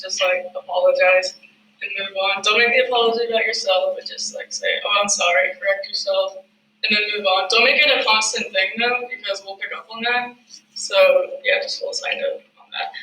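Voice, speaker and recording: Interviewee, higher bright voice, casual, echoey and off-mic. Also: male voice, a little fast, slight background noise. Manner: relaxed, filler-heavy advice. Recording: roomy, distant mic.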